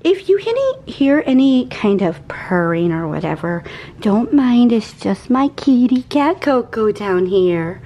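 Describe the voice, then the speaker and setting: A woman's voice: a little high, sing-song, measured pace, dark tone, muffled recording. A woman in her forties chatting casually and rambling as she shows her groceries in her home kitchen. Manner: chatty, rambling, easily sidetracked. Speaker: vlogger. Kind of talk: casual grocery haul chat.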